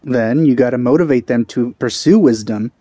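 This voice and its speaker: A man's voice: mid-range voice, normal speed, dark tone, no room sound. A man giving casual gameplay commentary, musing aloud and trailing off as he thinks. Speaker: gaming video maker.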